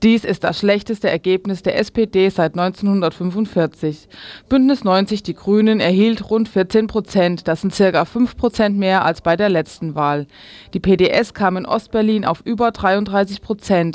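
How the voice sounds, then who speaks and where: A newsreader presents scripted radio news. The voice sits at a middle pitch and is recorded very dry, with hardly any room around it. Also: a little mellow, no room sound.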